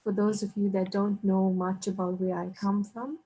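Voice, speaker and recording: A woman from South Africa speaks as a guest lecturer in an online workshop. Her voice is mellow and a little husky, and she comes across over a video call. She explains politely, with frequent ums. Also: medium pitch, level tone, moderate pace, poor audio.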